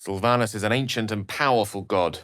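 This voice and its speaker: A man's voice: on the low side, fairly quick, fairly bright tone, dry recording, clean studio sound, natural voice. An actor playing an erudite, witty and self-assured wizard in a video game, eloquent and theatrical in his wordy delivery. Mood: curious, wry. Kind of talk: video game character acting.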